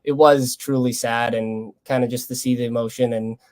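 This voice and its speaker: A man's voice: mid-range voice, little pitch movement, normal speed, darkish tone. Young Canadian man, earnest and conversational. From Lethbridge, Canada. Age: young adult. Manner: earnest, loose, conversational phrasing. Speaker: college baseball player being interviewed. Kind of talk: casual interview answers.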